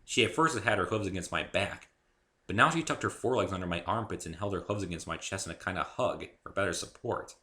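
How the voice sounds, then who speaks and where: A man's voice: sits low, quick pace, bright, forceful tone. A man giving a dramatic first-person reading of his own story, theatrical and emphatic.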